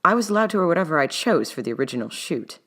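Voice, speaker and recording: A woman's voice: low voice, sing-song, rapid-fire, fairly bright tone, heavily acted. A woman voicing a testy, stuffy male physicist in an audiobook, clipped and formal, with an old-timey mid-Atlantic accent. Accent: mid-Atlantic, old-timey English-leaning. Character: testy, stuffy male physicist, indignant about his clothes.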